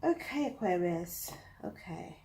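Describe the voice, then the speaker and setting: A woman's voice: fairly low pitch, animated, unhurried, creaky, dark tone, slight background noise. A woman with a British accent, reading tarot cards to camera in a chatty, thinking-aloud way, her nose blocked up from a cold. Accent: British English. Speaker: tarot reader.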